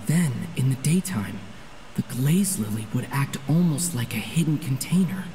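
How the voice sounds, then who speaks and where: A woman's voice: extremely low pitch, lively intonation, moderate pace, warm tone, slight background noise. A woman reading game dialogue aloud as she plays.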